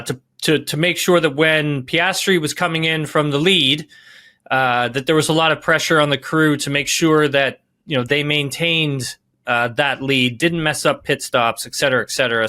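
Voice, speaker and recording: A man's voice: a little high, sharp tone. A man giving podcast sports analysis, emphatic, rephrasing and repeating key words as he goes.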